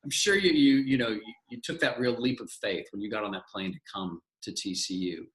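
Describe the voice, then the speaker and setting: A man's voice: fairly low pitch, fairly quick. A middle-aged man moderating a live panel, enthusiastic, often repeating words as he builds his questions.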